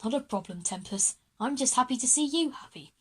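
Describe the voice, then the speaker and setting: A woman's voice: high-pitched, expressive, fairly quick, a bit bright, husky, full character voice. A woman playing a kindly, eccentric male headmaster in a children's audio drama. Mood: warm.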